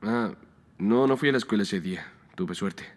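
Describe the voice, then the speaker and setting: A young man dubbing an earnest, wary young character in a TV drama, speaking politely and quietly. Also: on the low side, normal speed, natural voice. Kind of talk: Spanish dub of a TV drama.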